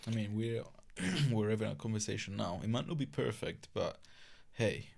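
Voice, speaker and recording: Gravelly low-voiced Italian host. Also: male voice, lively intonation, moderate pace, darkish tone. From Italy. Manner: chatty, teasing. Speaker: podcast host. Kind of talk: casual conversation.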